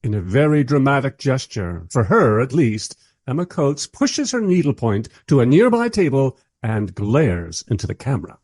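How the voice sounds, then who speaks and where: Male narrator, curious, confiding, wry, medium pitch, lively intonation, scripted radio drama. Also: normal speed, few pauses. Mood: observant. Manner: confiding, wryly observant. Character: curious male narrator reviewing a recorded interview.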